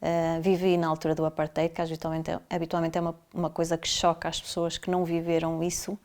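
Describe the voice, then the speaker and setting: A woman's voice: deep voice, completely flat delivery, fast, darkish tone. A middle-aged woman from South Africa, a family doctor, explaining her experiences in interview answers, with many fillers and restarts along the way.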